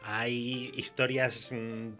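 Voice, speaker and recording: Informal Spanish man with a mid-pitched voice. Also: little pitch movement, extremely slow, bright, forceful tone, faint music behind. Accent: Spain Spanish. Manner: informal, laughs often, opinionated. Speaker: guest writer of horror fiction. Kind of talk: casual chat in a radio interview.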